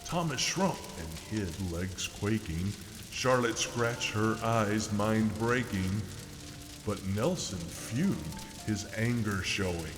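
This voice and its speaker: A man narrates a spooky rhyming poem in a low voice that swings dramatically up and down. His delivery is theatrical and deliberate. A long echoing reverb surrounds him, with faint music underneath. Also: lively intonation. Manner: theatrical, deliberate, measured in rhyme. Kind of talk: dramatic reading of a horror poem. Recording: echoey reverberant hall effect, faint music.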